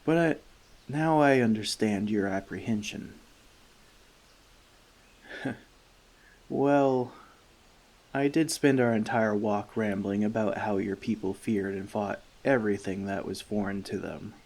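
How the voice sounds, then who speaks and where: A gentle, long-lived forest elf, played by a man in an ASMR fantasy roleplay. He speaks softly and slowly, with a creaky edge to his voice. His wording is measured, slightly formal and thoughtful. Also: on the low side. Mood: thoughtful, earnest. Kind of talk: soft-spoken ASMR roleplay addressed to the listener.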